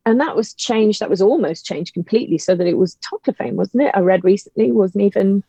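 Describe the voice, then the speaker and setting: Female interview guest, mellow voice with vocal fry, emphatic and impassioned, casual chat. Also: mid-range voice, a little fast, dry recording. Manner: emphatic, animated storytelling with exasperated rhetorical questions. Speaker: guest, audio drama writer. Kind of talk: passionate casual conversation.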